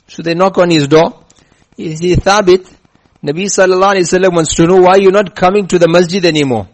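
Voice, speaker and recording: A man with a rather high voice delivers a religious talk. He slows down to stress his lessons and tells a story as he goes. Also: fairly even intonation. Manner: emphatic, slows down to stress points. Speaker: preacher. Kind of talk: religious lecture with chanted scripture.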